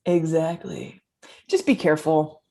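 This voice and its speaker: A woman's voice: low voice, wildly swooping pitch, a little slow, dark tone, heavily acted. Woman acting a brash, shady dealer with swooping intonation. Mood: guarded. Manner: cocky, wisecracking. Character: shady, brash woman selling poison and racing coyotes. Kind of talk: comedy pilot table read.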